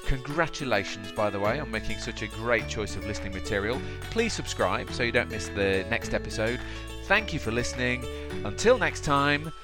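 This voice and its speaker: A man's voice: mid-range voice, expressive, normal speed, music in the background. A man hosting a podcast interview, matey and upbeat, often restarting his phrases. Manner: matey and upbeat, with frequent repeated starts. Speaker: podcast host.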